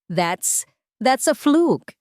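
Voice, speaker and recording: A woman's voice: sits high, sing-song, drawn-out words, a little mellow, no echo, studio quality, voice pushed up, exaggerated voice. A woman voicing a stern, defensive doctor in an audio drama, speaking formally and with a threatening, warning manner. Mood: rattled.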